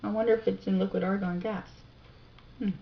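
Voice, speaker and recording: A woman's voice: mid-range voice, steady tone, brisk, gravelly. Woman reading aloud, dull lo-fi recording. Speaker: amateur video researcher and narrator. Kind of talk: reading reference text aloud with casual asides.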